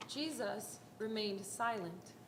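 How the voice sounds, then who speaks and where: A woman's voice: mid-range voice, slow. A woman narrating a scripted dramatic reading of a Bible story. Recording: roomy echo, faint background noise.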